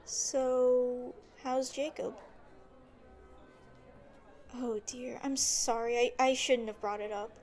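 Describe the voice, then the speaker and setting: A woman playing a sweet, chatty young noblewoman in an audio drama, expressive and affectionate. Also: a little high, takes it slowly, pauses often, soft background music. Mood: apologetic.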